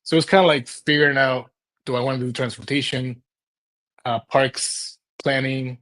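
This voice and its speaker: A man's voice: medium pitch, level tone, moderate pace, fairly bright tone. A man in his thirties from Mexico who grew up in Houston, speaking as an interview guest and telling personal stories in an informal, rambling way with rhetorical questions. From Mexico, moved to Houston as a child. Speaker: urban planner, interview guest. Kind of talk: conversational personal storytelling in an interview.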